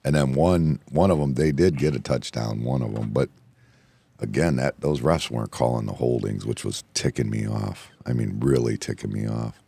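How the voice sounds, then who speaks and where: A hoarse man hosting a casual bar-talk show, rambling and excitable, often repeating himself. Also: rumbling low, moderate pace, no room sound. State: hoarse from yelling. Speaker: podcast host. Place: indoor room, bar setting.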